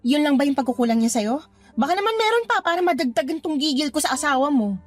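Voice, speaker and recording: A very high, sassy female voice from an actress as a protective cousin in a radio drama. She is blunt and indignant, with faint music behind her. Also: animated, fast. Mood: nosy, outraged. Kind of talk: Tagalog radio drama dialogue.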